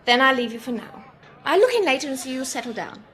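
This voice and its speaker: An actress playing an excitable, gushing hospital nurse with a British accent in a classic radio drama. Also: female voice, high-pitched, quick pace. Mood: coaxing, brisk. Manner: gushing, bright, coaxing. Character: chirpy, excitable female hospital nurse, fussing over a patient.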